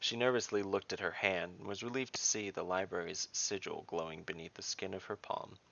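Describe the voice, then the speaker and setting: A man's voice: low voice, fairly even intonation, a little fast, creaky, a bit bright. A man narrating a scripted fantasy story, recorded up close with a slightly dull sound.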